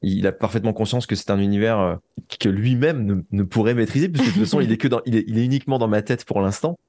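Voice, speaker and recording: A man talks in a close, dry recording. He speaks reflectively as a writer being interviewed, earnest and careful, often pausing to hunt for the right word. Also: on the low side, mellow, no echo. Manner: hesitant, searching for words, earnest. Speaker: fiction podcast writer, interview guest.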